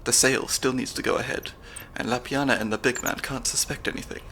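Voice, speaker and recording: Gravelly nerdy guy-in-the-chair type done by a young man, soft and wry, a bit jumpy, asmr roleplay. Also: medium pitch, steady tone, brisk, a little hiss or hum. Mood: flustered. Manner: analytical, dry wit, soft-spoken. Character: male stand-in spy handler, nerdy, wry, slightly anxious.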